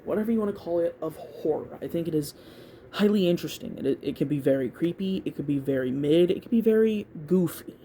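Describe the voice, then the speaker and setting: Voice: mid-range voice, dark tone, slight background noise. A vlogger of unclear gender rambling casually through opinions, thinking aloud and repeating words in a hesitant way. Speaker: YouTube video creator giving opinions.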